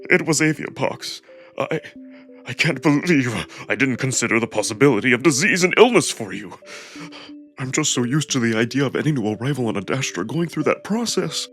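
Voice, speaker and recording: A man voicing a huge alien wolf who is tender, anxious and lovestruck, speaking in a halting, earnest, emotional way in a visual novel reading. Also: expressive, a bit bright, faint music behind. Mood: regretful, explaining. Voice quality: deep voice, shaken and breaking.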